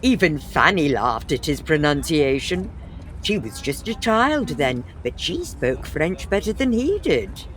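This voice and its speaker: A woman playing a composed, dryly amused Victorian professor's wife in a comic audio drama, measured and precise. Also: sits low, sing-song.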